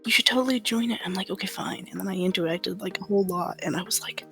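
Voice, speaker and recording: A woman chatting casually as a guest, retelling things in an animated, slangy way with acted-out quotes. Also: mid-range voice, quick pace, vocal fry, soft background music. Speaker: guest, series participant. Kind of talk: casual chat.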